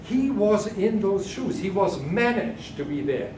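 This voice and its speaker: A man's voice: high voice, sing-song, unhurried, a little mellow. An older man from Germany giving a keynote lecture to a conference audience, speaking hesitantly with many restarts and fillers. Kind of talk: conference lecture answering questions. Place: large carpeted conference room. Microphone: far room camera. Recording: echoey hall from far off, noisy.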